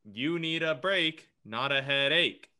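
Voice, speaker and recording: An actor playing an eager, folksy garage owner making a punchy sales pitch in 1940s radio ad style. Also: male voice, takes it slowly, exaggerated voice. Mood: eager salesman. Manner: punchy, animated sales patter.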